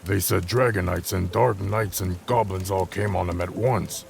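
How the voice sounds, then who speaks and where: A man voicing a gruff, grumbling dwarf adventurer with a gravelly, blunt delivery in a fantasy audiobook. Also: deep voice, fairly even intonation, normal speed, darkish tone. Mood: recounting. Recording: music under the voice.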